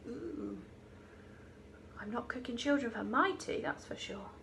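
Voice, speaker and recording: Lady teacher reading a kids story, high voice, really expressive, slight background noise. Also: a little slow, gravelly. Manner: expressive, dramatic emphasis. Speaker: infant school teacher reading to her class. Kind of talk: animated children's story reading.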